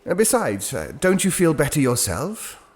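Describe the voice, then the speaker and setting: An actor with a British accent playing a hesitant, reassuring gentleman who tells an eerie tale in an audio drama, halting and conversational. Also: a little high, wildly swooping pitch, husky, no room sound, full character voice. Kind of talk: audio drama ghost story narration.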